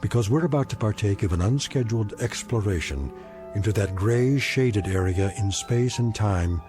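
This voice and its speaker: A man narrating a science fiction anthology drama from a script, in a measured, wry and ominous manner. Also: lowish voice, over music.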